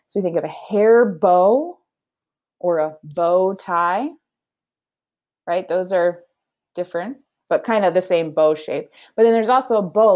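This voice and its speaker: A woman teacher giving a read-aloud lesson for children, explaining her thinking and asking checking questions as she goes. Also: on the low side.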